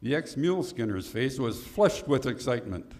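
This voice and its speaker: A man's voice: medium pitch, very animated, normal speed, a little mellow, close to an everyday voice. A man playing a dramatic, declamatory announcer narrating a 1950s-style Western radio serial. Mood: suspenseful.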